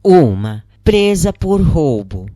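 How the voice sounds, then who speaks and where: A woman with a Brazilian accent narrating a first-person story in a measured, expressive, dramatic audiobook style. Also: low-pitched, no room sound, clean studio sound. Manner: measured, expressive, acts out quoted lines. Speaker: narrator of a spiritist account.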